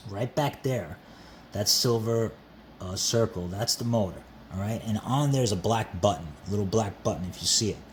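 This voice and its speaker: A man's voice: lowish voice, moderate pace. A man narrating a hands-on how-to in an informal way, repeating himself as he talks the viewer through each step. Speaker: repair tutorial host. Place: indoors at a workbench. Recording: steady background noise.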